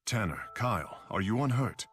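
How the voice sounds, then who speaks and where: Very deep, stern kingly man. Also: expressive, normal speed, a bit bright, a little hiss or hum. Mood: grave concern. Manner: grave, formal. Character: stern, solemn king living as a gang's big brother. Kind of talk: English video game dub acting.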